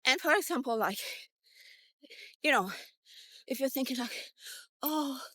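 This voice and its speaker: A woman's voice: high voice, expressive, measured pace, sharp tone, dry recording. A woman in her fifties telling a personal story casually, in a blunt, conversational, sweary way, as a vlogger. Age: 50s.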